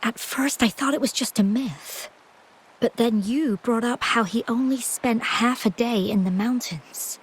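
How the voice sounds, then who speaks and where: An actress voicing a gentle, anxious, ailing young woman in a video game, speaking softly and politely with hesitant stammers. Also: fairly high pitch, a little slow, slightly rough, rough recording. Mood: wistful, worried.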